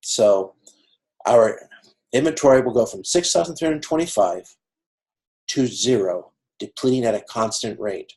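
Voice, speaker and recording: A man with a creaky voice teaching an online class informally, explaining step by step in a halting way on a video call. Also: mid-range voice, darkish tone, low-quality recording. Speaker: instructor of an online college class. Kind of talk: informal lecture working through a cost example. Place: video conference.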